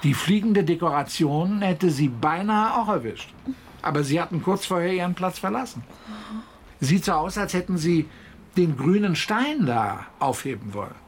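A woman's voice: on the low side, expressive, normal speed, lightly acted. Radio drama, female actor: reassuring, slightly apologetic medical staffer, natural delivery, faint noise behind.

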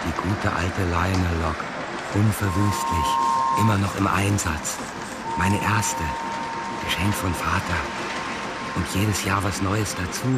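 A man's voice: low voice, steady tone, slow, very noisy. An actor in a German radio play voicing a frustrated, brooding middle-aged husband who mutters to himself about his model trains. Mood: excited.

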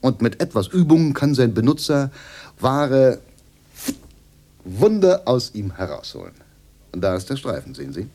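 A man's voice: mid-range voice, sing-song, a little slow, long pauses, dark tone. An actor in a radio play voicing a glib, eager American salesman who chats through his sales patter. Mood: smooth, explaining.

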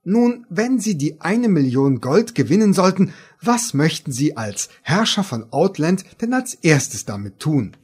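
Casting: man as game show presenter, fairly high husky voice, animated intonation, courteous parody delivery. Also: a little slow, few pauses, heavily acted. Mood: polite, probing. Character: male TV quiz show host, polite, matter-of-fact.

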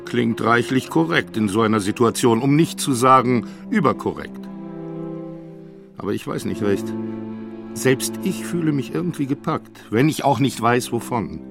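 A man's voice: medium pitch, lively intonation, normal speed, creaky, music in the background. An actor playing a sceptical, formal academic man with a dry, wordy manner in a German radio play. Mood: dry scepticism. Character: sceptical, correct academic man, reluctantly gripped.

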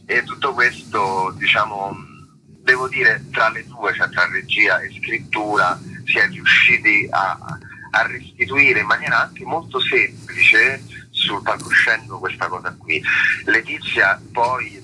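A man's voice: lowish voice, slow, pushed and bright, slight background noise, low-quality recording. A man, an actor giving reflective, conversational interview answers and searching for his words. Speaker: actor being interviewed about a play.

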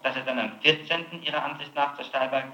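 A man's voice: medium pitch, fairly even intonation, fast talker, bright, forceful tone, highs cut off. A man questioning a suspect as a prosecutor, confrontational and persistent, pressing each point. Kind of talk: interrogation. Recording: echoey archival tape of interrogation.

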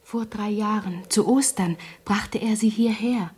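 An actress playing a fearful, superstitious village wife, breathy and hushed, in a 1970s radio drama. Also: female voice, mid-range voice, a little slow. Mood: dread, warning.

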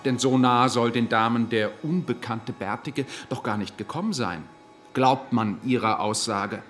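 An actor playing a stern, accusatory lawyer in a radio courtroom drama, speaking in formal, pointed rhetoric. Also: male voice, medium pitch, a little slow, fairly bright tone, faint music behind, lightly acted. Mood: insistent, formal. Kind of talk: German radio play acting.

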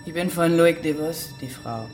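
A woman's voice: low-pitched, normal speed, darkish tone, close to an everyday voice. Actress as blunt village woman over music. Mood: matter-of-fact. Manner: blunt, colloquial. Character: plain-spoken village woman, casual and matter-of-fact. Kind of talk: radio drama acting.

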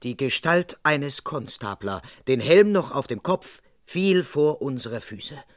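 A dutiful police constable narrates a radio crime comedy. The voice sits at a middle pitch, with formal, measured storytelling. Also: male voice, expressive, no room sound, studio quality. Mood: measured narrating.

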